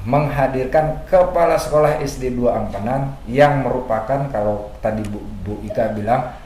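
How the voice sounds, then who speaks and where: A man's voice: mid-range voice, normal speed, darkish tone. Chatty male radio host, echoey room. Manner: informal, chatty, colloquial. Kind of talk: casual radio interview with station announcements. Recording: echoey room with faint background noise.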